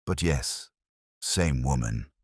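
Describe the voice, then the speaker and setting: A patient police inspector, voiced by a male storyteller, very deep and understated. He speaks deliberately with dry wit, in a dry, close recording. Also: lively intonation, husky, no room sound, full character voice. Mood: intent, calm.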